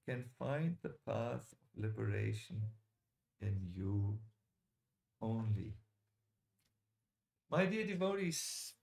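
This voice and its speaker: A man giving a sermon as a religious teacher, telling scripture stories deliberately, with dramatic pauses and direct appeals to his listeners. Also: on the high side. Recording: echoey lecture hall sound.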